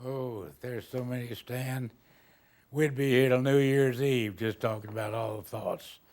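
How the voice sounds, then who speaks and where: Gravelly older Texan man reminiscing. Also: mid-range voice, fairly even intonation, measured pace, a little mellow. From Texas. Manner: rambling, folksy storytelling with chuckles. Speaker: retired band director. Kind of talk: reminiscing interview answers.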